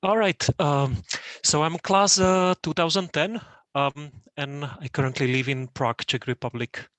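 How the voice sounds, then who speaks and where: Dry, higher-voiced man in his thirties. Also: fairly even intonation, unhurried, a bit bright, no room sound. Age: 30s. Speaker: alumnus participant. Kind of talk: casual self-introduction. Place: video call.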